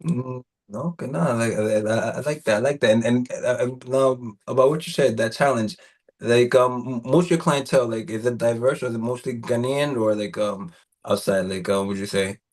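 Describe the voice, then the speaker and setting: A man hosting an interview, asking questions in a loose, halting way with many restarts and fillers. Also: mid-range voice, a little mellow. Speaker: interview host.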